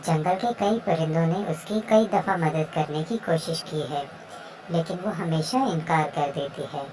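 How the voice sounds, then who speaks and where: A woman acts out a sceptical, sensible husband pigeon in a children's cartoon. The voice is somewhat low, with clear crowd-like sound in the background. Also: unhurried, rough recording. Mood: dismissive, reasoning. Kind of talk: children's cartoon story dialogue.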